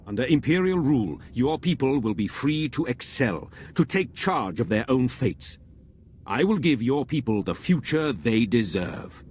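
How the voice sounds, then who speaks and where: A man's voice: mid-range voice, a little hiss or hum, close to an everyday voice. Male actor as a zealous imperial warlord: mid-range voice, cold, proud, oratorical game-villain delivery. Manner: haughty, measured, oratorical. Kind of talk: video game villain monologue.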